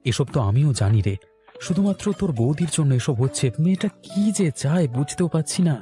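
A man's voice: a little high, animated, fast talker, warm tone, natural voice. Over faint music comes a man's voice, an actor as a troubled young son and husband in a family cartoon. His delivery is plaintive. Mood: troubled, resigned. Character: troubled young married office-worker son. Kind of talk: Bengali family cartoon acting.

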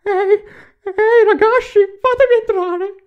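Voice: very high-pitched, a little slow, highs cut off. An actor voicing a timid, anxious animatronic fox in a parody cartoon, repeating words in nervous bursts. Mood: nervous, eager.

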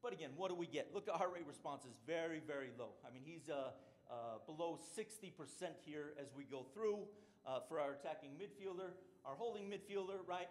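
A middle-aged man, a strength and conditioning coach, giving a conference presentation and explaining points with frequent "right?" check-ins. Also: on the high side.

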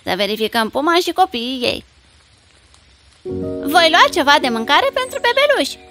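A woman voicing a caring, expressive young village girl in a dubbed cartoon fairy tale. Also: high-pitched, music in the background. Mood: friendly.

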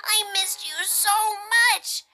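A woman voicing an eager, affectionate little boy in an audiobook, excited and openhearted. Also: extremely high pitch, takes it slowly, soft background music, pitched above the performer's own voice, full character voice, rough recording. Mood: overjoyed.

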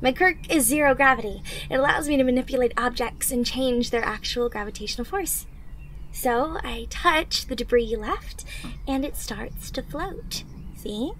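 A woman's voice: high voice, moderate pace, background noise. A woman playing an upbeat, encouraging teenage girl superhero trainee in an anime fan roleplay, coaching the listener directly in a bubbly manner. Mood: encouraging, explaining. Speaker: audio roleplay performer.

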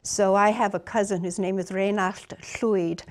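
An older woman speaks gently and thoughtfully in a studio interview, her sentences often trailing off softly. Welsh was her first language, though she grew up in New York State. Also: mid-range voice, fairly even intonation, measured pace. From born in England, raised in New York State. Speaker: guest artist and poet. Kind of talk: reflective conversational storytelling. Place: dark television studio.

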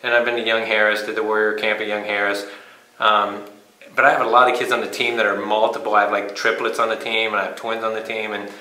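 A man in his fifties, a sports coach giving an informal interview, speaking haltingly with pauses mid-sentence. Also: on the low side, little pitch movement, sharp tone. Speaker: high school cross country coach. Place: small bare indoor room. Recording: echoey room.

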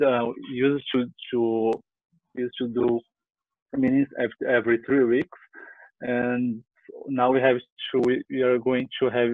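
A man's voice: mid-range voice, dry recording. Brazilian-accented man on a thin, dry line. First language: Portuguese. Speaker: sketching group organiser. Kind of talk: informal report on a group video call. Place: room at home. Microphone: headset on a video call. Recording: dry, thin phone-like call audio.

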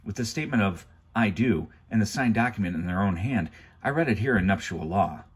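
A man's voice: lowish voice, animated, fairly quick, breathy edge. A man reading aloud and voicing a clever, bookish teenage boy who talks in an earnest, explaining way.

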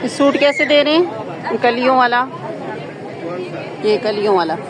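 A woman's voice: fairly high pitch, a little slow. A woman with a Pakistani accent giving a casual market tour and asking vendors for prices, as a shopping vlogger. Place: busy indoor shopping bazaar. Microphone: handheld phone, behind the camera. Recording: loud bustling market crowd behind. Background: loud shoppers and vendors.

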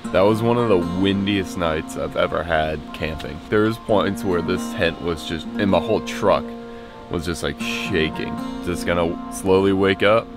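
A man's voice: low voice, moderate pace, music in the background. Chatty young man vlogging outdoors. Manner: relaxed, chatty, upbeat about nature. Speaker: camping vlogger. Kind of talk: casual vlog narration. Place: outdoors at a campsite, meadow and in a tent. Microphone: handheld camera at arm's length.

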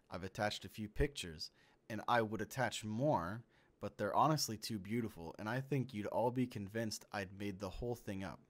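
A man playing a genial, persuasive friend reading out his written invitation in a measured way, for an amateur comic dub. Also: on the low side, close to an everyday voice.

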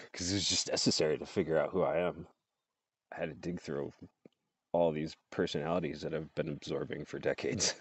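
Very deep-voiced man, laughing vlog ramble. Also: a little mellow, no echo. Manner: laughs often, loose and chatty. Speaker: vlogger. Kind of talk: casual rambling monologue. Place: outdoors under trees. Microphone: earbud headset mic, phone close up.

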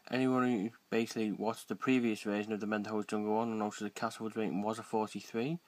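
A man's voice: on the low side, level tone, fast, no room sound. A man reads a matter-of-fact voice-over narration for a video. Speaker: vlog narrator.

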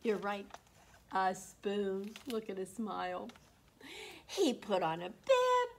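A woman in her sixties with a slightly froggy throat, hosting an interactive read-aloud for young children in an animated, encouraging way. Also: medium pitch, slow pace, vocal fry. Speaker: children's storytime host at a library. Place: small indoor room. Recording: constant room noise.

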